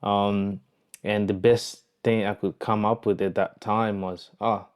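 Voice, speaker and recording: A man's voice: on the low side, flat delivery, a little slow. A young man with a Zimbabwean accent telling his own story casually, in a reflective, conversational way, as a vlogger. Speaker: vlogger, online entrepreneur. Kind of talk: casual personal storytelling to camera.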